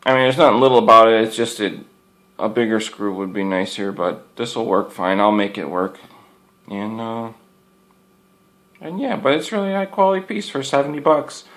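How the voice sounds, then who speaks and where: A man's voice: on the low side, normal speed, fairly bright tone. A man giving a casual, unscripted product review in an informal, folksy, rambling way, often repeating his words.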